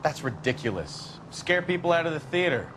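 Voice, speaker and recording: An actor playing a gruff, sardonic 1940s police detective in a video game, dismissive in manner. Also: male voice, fairly high pitch, big pitch swings, moderate pace. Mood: dry, skeptical. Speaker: police detective partner character. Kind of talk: video game cutscene acting. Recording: engine and street noise behind voice.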